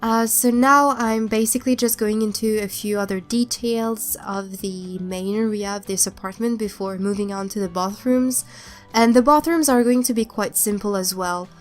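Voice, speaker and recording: A woman's voice: mid-range voice, normal speed, faint music behind. A young woman with a cold and a stuffed-up voice, not a native English speaker, casually chatting in a rambling, hesitant voiceover. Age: young adult. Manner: rambling, hesitant, many restarts. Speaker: YouTuber narrating a speed build.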